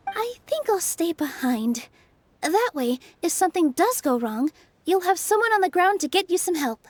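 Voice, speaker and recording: A woman playing a gentle, polite, thoughtful young woman in a video game, soft-spoken and earnest. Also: extremely high pitch, sing-song, moderate pace, dry recording. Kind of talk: video game character acting.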